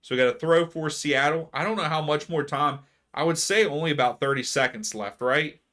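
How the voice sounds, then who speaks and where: A man hosting a live soccer watchalong stream, chatting casually with viewers and ranting about fouls. His voice is going hoarse. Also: fairly high pitch, brisk, fairly bright tone. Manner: chatty, reads chat aloud, rants about fouls. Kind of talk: casual live match commentary.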